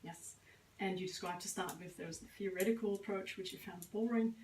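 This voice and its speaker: A woman's voice: mid-range voice, fairly even intonation, fairly quick, darkish tone, roomy sound, far from the mic, a little hiss or hum, poor audio. A woman coaching a language learner, asking questions and summarizing his answers with frequent encouraging affirmations. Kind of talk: coaching interview.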